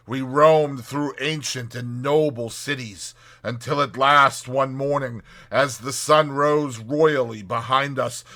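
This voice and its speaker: A man's voice: medium pitch, sharp tone. A man voicing a worldly, boastful seafaring rat who savors old voyages with grand storytelling flourishes, in a dramatized audiobook. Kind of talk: dramatized audiobook character reading.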